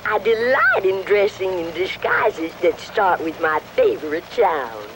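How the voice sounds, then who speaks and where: Slow, high-voiced gloomy lady dragon. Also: huge pitch swings, very noisy, heavily acted. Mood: haughty. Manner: mournful, declaiming. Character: depressed, dramatic female dancing dragon. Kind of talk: children's puppet show acting.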